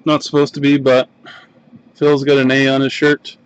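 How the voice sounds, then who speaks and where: A man's voice: mid-range voice, completely flat delivery, smooth, muffled recording. A Canadian man hosting a live card break, calling out the cards at his desk in an easygoing, mumbling way. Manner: unhurried, mumbling card names while opening packs. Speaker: box break host. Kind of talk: casual live-stream card break commentary. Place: desk in a home card room.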